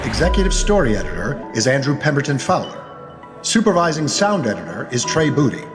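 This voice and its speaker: A man's voice: medium pitch, sing-song, a little fast. A man giving a scripted, polished voice-over for an audio soap opera, as its dramatic announcer. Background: music.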